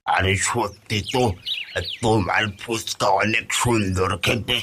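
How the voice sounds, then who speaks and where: A man's voice: on the low side, steady tone, a little slow, background noise. A man voicing an approving, avuncular townsman in a cartoon. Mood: admiring, humbled. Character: approving male customers and humbled rival, avuncular. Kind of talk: cartoon voice acting.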